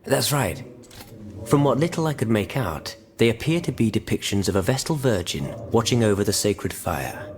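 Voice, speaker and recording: A man's voice: lowish voice, big pitch swings, moderate pace. A man voicing a stern, sardonic eighteenth-century revolutionary in a video game, formal and oratorical. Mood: grim, attentive. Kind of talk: scripted video game cutscene dialogue.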